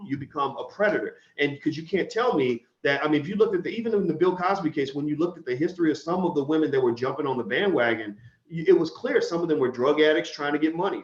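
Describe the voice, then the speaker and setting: Man host, high voice, blunt heated commentary, roomy lo-fi sound. casting: outspoken show host. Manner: blunt, heated, profane, with many restarts. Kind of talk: opinionated talk show commentary. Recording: echoey room, dull lo-fi sound.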